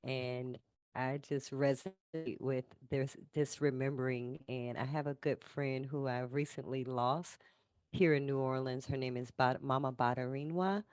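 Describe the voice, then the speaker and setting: Man, audience member, smooth clear voice, dry close sound, appreciative. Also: mid-range voice, steady tone, a little slow, no echo. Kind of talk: conference Q&A remark. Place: online conference call, New Orleans.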